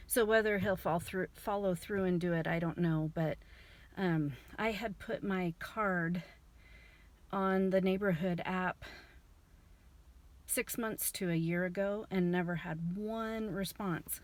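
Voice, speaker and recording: A woman's voice: lowish voice, fairly even intonation, slow pace. A middle-aged woman chatting casually in a home vlog, telling rambling stories with lots of fillers. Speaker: vlogger reselling scrap. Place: at home, garage and car.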